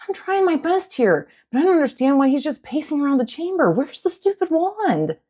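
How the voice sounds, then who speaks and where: A woman's voice: high voice, very animated, brisk, dark tone, pitched above the performer's own voice. A woman voicing a plucky, flustered adventure heroine in an audiobook, earnest and exclaiming.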